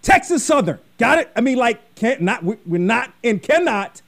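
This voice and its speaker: A man's voice: very high-pitched, very animated, moderate pace, a bit bright. A man hosting a radio interview show, emphatic and enthusiastic as he asks his questions. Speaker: radio host.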